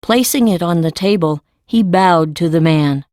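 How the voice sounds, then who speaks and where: Woman, audiobook narrator, scripted storytelling, slow delivery, lowish pitch, dry recording. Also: darkish tone, no room sound.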